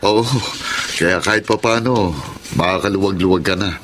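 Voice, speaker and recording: A man's voice: low-pitched, moderate pace, background noise, lightly acted. A man playing a humble, apologetic eldest brother in a Filipino radio drama.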